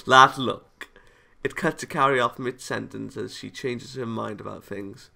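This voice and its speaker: A man's voice: medium pitch, measured pace, vocal fry, sharp tone, faint music behind. A man reading a visual novel aloud as a let's player, stumbling over lines and reacting playfully with casual asides. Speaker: video game let's player.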